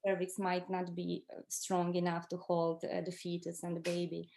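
A middle-aged woman from Croatia with a Croatian accent tells a personal story as an interview guest, conversationally, pausing to search for words in her second language. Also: lowish voice, completely flat delivery, creaky, a little mellow. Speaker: interview guest recounting her own experience.

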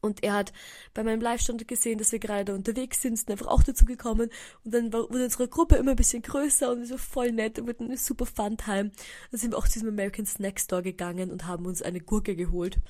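Fast-talking, congested Austrian woman. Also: fairly high pitch, fairly even intonation, warm tone. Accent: Austrian German. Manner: chatty, rambling, mixes in English words. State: stuffy nose from a cold. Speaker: podcast host. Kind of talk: casual solo chat. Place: indoors at home.

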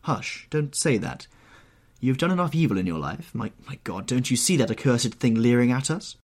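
A man's voice: mid-range voice, very animated, moderate pace, a little mellow, slightly rough, voice pushed up. A man voicing a horrified, anguished painter who pleads and moralises in an agitated, exclamatory way, in an audiobook story. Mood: pleading, fervent.

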